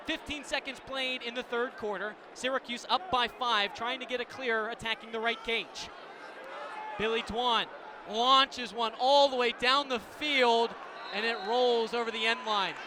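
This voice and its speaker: A man calling live lacrosse play-by-play on the radio in clipped present-tense phrases that build with the action. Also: very high-pitched. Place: live broadcast at a sports venue. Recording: noisy outdoor stadium ambience.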